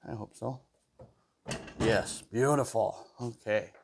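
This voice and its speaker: A man's voice: on the low side, slow, creaky, slight background noise. A man with a stuffy nose talking to himself while he takes apart an old mower in his workshop, humming between tasks. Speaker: small engine repair vlogger. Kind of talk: casual talk while working.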